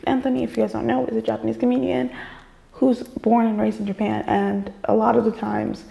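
A woman's voice: on the high side, little pitch movement, brisk, vocal fry, mellow. A young woman rambling casually to her camera in a story-time vlog, with many fillers and restarts, her voice a little off after a shot. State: slightly off voice after a vaccine shot. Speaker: vlogger. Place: bedroom gaming setup, indoors.

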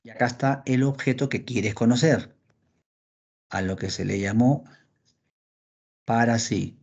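A man teaching a philosophy class over a video call, lively and acting out his students' questions. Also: mid-range voice, a little slow, slightly rough. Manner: animated, acts out students' questions. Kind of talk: online class lecture. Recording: dull lo-fi call audio.

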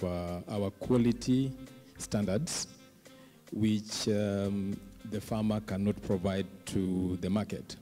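A middle-aged man speaking as a panelist, pausing often mid-sentence, into a handheld microphone on stage. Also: on the low side, mellow. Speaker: panelist, fish processor representative. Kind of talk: panel discussion remarks. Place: indoor conference stage. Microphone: handheld microphone close to mouth.

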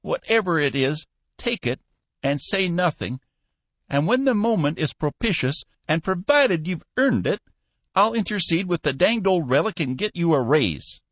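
A crusty old tycoon with a shrill, piping voice pitched far above a normal man's, bossy and wheedling by turns, as a male narrator puts him on. The delivery swoops up and down, close and dry with no room sound. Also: lively intonation, normal speed, a bit bright, dry recording. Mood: scolding, cajoling. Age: older. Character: shrill, feisty old businessman, crusty but soft-hearted.

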